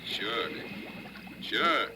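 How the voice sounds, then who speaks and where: A man's voice: medium pitch, lively intonation, drawn-out words. A man playing a bossy, menacing ship's skipper in an old radio drama, giving curt, sly orders. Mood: falsely reassuring. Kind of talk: vintage radio drama acting. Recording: noisy old radio recording.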